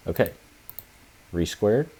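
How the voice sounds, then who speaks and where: A young man who is sick and a bit hoarse, rambling through a screen-share lesson with many fillers and restarts. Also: medium pitch, a bit bright. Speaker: trading tutorial presenter. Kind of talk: casual screen-share tutorial.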